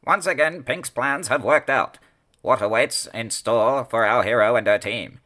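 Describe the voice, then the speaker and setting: A man's voice: medium pitch, a little slow, bright, forceful tone. A man playing a bombastic announcer for a serial audio drama cliffhanger. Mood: excited.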